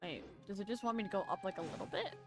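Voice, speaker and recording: Woman gamer, mid-pitched voice, casual and jokey let's-play commentary, clear plucked-string game music behind. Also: racing. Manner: informal, offhand, jokey. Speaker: gaming video creator. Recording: game music playing over the voice. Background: game soundtrack with plucked strings.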